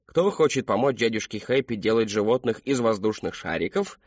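A man voicing a fat children's party clown, shouting with exaggerated jolly showmanship and an edge of menace. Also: fairly low pitch, animated, a little fast, dry recording, highs cut off. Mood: manic cheer.

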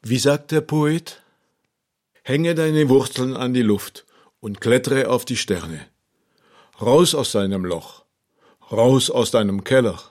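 Eloquent, wry Alsatian theologian. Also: male voice, medium pitch, a little mellow. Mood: solemn, poetic. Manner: rhetorical, emphatic, declaiming. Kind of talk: radio drama acting.

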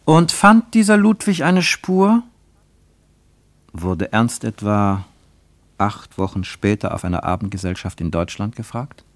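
A man acting as the grave, reflective narrator of a literary radio play, with deliberate dramatic phrasing. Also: on the low side, animated, no room sound. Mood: grave, suspenseful.

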